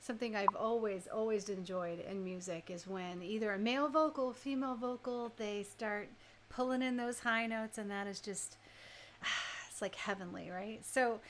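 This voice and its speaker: A woman's voice: mid-range voice, normal speed, fairly bright tone, a little hiss or hum. A woman hosting a live radio stream, chatting casually and conversationally, speaking directly to her listeners. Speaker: radio DJ and host.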